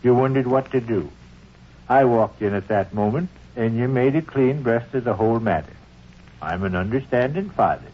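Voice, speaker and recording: A man's voice: mid-range voice, expressive, normal speed, natural voice. Casting: male actor as stubborn, wordy American dad and proud tinkerer, 1940s radio comedy, dull old recording. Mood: mock-solemn. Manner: wordy, mock-formal phrasing. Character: fussy, stubborn middle-aged father, proud home tinkerer.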